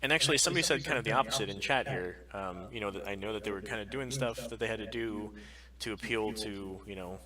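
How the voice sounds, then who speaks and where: A man's voice: fairly low pitch, quick pace, distant mic, a crowd in the background, rough recording. A man co-hosting a casual livestream podcast, talking emphatically and freely. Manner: emphatic, frequent swearing. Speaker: podcast co-host.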